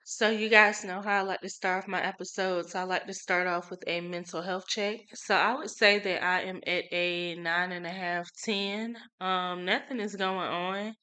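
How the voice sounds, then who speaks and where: A young woman hosting a casual solo podcast, chatty and relaxed with many fillers, a little stuffy from a cold. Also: fairly low pitch, moderate pace, few pauses, clear, resonant tone. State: congested from a cold. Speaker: podcast host.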